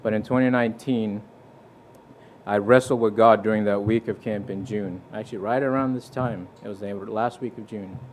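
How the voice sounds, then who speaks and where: A man's voice: on the low side, fairly even intonation, moderate pace, a little hiss or hum. A young man from Fiji preaching in a church, telling his testimony earnestly and conversationally, with restarts and quoted scripture. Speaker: visiting preacher. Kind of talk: sermon with personal testimony.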